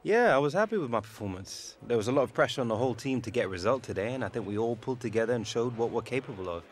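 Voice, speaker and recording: An actor playing a confident, courteous young professional footballer in a game cutscene. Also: male voice, mid-range voice, lively intonation, very fast, natural voice. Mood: pleased. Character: confident, courteous eighteen-year-old male professional footballer. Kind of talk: video game cutscene acting.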